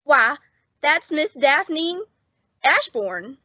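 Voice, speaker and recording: A woman's voice: high voice, sing-song, extremely slow, sharp tone, no room sound, exaggerated voice. A woman playing a naive, girl-struck young bellboy with a guileless gee-whiz manner in a radio comedy. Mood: eager, smitten. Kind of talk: 1950s radio comedy acting. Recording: dull old-time radio recording.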